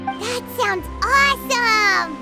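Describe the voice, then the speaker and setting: Game casting: small excitable sidekick girl, extremely high, squeaky, childlike, very animated. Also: extremely slow, full character voice. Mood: impressed, chatty. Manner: speaks of herself in the third person. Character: small, childlike, excitable female floating companion. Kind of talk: video game voice acting. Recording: loud music behind the voice.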